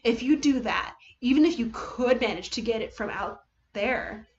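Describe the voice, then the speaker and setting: A woman voicing a wicked, mocking teenage boy villain with drawn-out, theatrical teasing in a dramatic story reading. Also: fairly high pitch, animated, reverberant, highs cut off. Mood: gleeful taunting. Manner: taunting, drawn-out teasing delivery.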